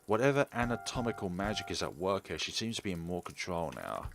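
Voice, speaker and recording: A man's voice: low voice, big pitch swings, fairly quick, creaky. A man hosting a game playthrough, reading story text aloud, acting out dialogue and adding his own commentary. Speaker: gaming video host. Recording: loud music behind the voice. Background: game music.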